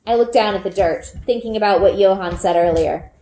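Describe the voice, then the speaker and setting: A woman reading a children's book aloud as an expressive storyteller, narrating from the text. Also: medium pitch, fairly quick. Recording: roomy indoor echo.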